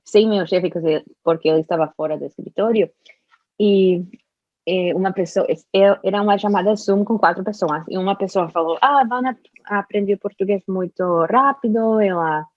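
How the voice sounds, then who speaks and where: A young woman, a native Spanish speaker, talking in an interview about learning Portuguese, with careful, halting speech and restarts. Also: medium pitch, dry recording, dull audio. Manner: slow, careful speech with frequent restarts and laughs. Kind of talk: casual conversational interview.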